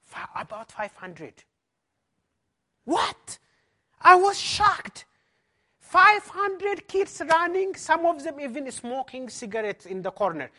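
A man's voice: very high voice, sing-song, takes it slowly, long pauses, pushed and bright. A man pastor from Ethiopia with an Ethiopian accent, giving an emphatic personal testimony as a conference talk in non-native English.

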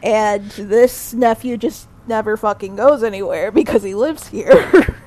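A woman's voice: medium pitch, measured pace, warm tone. A woman guest-hosting a casual podcast, telling rambling stories and laughing often. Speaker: guest co-host.

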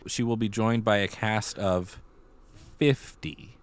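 A man with a low-pitched voice speaks as a podcast host in casual conversation. He thinks aloud as he goes, circling back and repeating phrases while he works through the news. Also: slow. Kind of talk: casual theater news chat.

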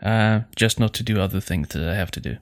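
A man chatting casually as a podcast producer and co-host, halting and repeating words as he goes. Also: on the low side. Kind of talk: casual chat.

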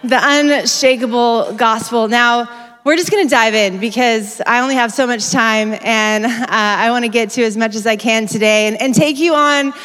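Energetic woman preaching in a reverberant hall. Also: on the high side, normal speed, clear, resonant tone. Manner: energetic, conversational, self-correcting. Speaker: conference speaker. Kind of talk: sermon. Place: large church hall. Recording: long reverb of a church hall.

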